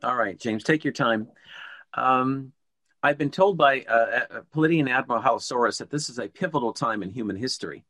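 A man hosting an interview, speaking in a calm, earnest, soft-spoken way. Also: mid-range voice, brisk, no echo. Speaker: host.

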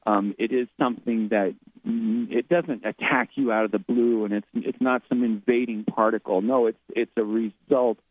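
A man's voice: medium pitch, fairly even intonation, normal speed. A man who is a guest on a radio interview speaks reflectively and often repeats words while he searches for the next ones. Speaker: guest, author and medium. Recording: tinny phone line.

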